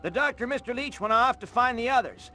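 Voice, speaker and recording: A chipper, chatty eccentric in a video game. The voice is high and very bright, matter-of-fact and eager to help, over faint music. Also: male voice, a little fast. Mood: upbeat, helpful. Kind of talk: animated video game voice acting.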